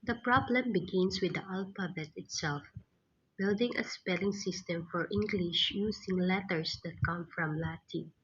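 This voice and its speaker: A woman's voice: lowish voice, moderate pace, slightly rough, lo-fi sound. A woman reading a scripted practice passage aloud. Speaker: exam passage reader. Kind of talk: scripted read-aloud narration.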